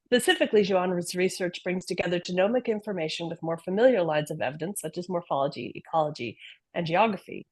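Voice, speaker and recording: A woman's voice: on the low side, slightly rough, no echo. A woman as program chair, reading a friendly introduction for a guest speaker on a video call.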